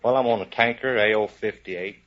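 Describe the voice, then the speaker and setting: Male sailor contestant, fairly deep voice, folksy and casual, muffled vintage radio sound. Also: a little fast. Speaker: navy sailor, show contestant. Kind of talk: casual interview answers. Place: studio in front of audience. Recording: noisy muffled 1950s radio broadcast recording.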